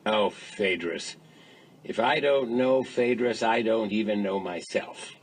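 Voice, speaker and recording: A man playing a wry, teasing ancient Greek philosopher in a measured dramatised dialogue reading. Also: on the low side. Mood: knowing, teasing.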